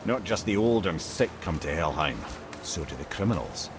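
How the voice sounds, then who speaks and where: An actor voicing a wry, worldly-wise storyteller companion in a video game, with a Scottish accent and an eloquent, sardonic manner. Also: male voice, deep voice, animated, normal speed, noisy background. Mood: wry, grave. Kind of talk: dramatic video game acting.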